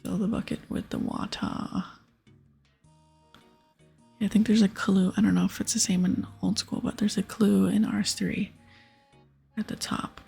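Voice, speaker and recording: A woman whispering softly and breathily in a relaxed murmur as she hosts an ASMR game playthrough, thinking aloud. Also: mid-range voice, moderate pace, creaky, long pauses, husky, soft background music. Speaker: gaming ASMR host.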